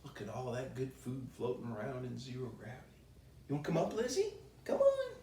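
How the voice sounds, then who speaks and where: A man reading a children's bedtime story aloud in a playful, expressive way with chatty asides. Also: medium pitch, a little fast, a little hiss or hum. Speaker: children's author reading his own book. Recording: roomy echo, distant microphone.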